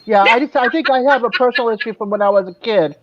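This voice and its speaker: A man's voice: very high voice, fast, highs cut off, slight background noise. A man with a slight Boston accent, a guest in a casual chat, rambling and correcting himself as he goes. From born near Miami, raised mostly in New England. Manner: rambling, self-correcting, chuckles.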